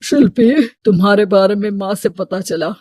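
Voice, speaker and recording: A man's voice: sits high, normal speed, darkish tone, no room sound, clean studio sound. An actor voicing a stern, curt, calculating middle-aged businessman father in a Hindi moral-story cartoon. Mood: pleading.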